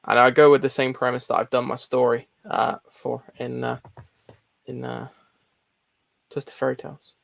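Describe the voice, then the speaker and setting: A man with a noticeably gravelly, mid-pitched voice co-hosts a casual livestream. The sound is very dry, with hardly any room on it, as he chats and reads comments. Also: flat delivery, moderate pace, pauses often, dry recording. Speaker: co-host reading out viewer comments.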